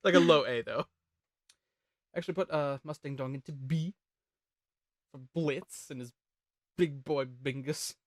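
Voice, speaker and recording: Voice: mid-range voice, unhurried, long pauses, no room sound, studio quality. A host leading a casual group chat that ranks songs, joking and swearing freely.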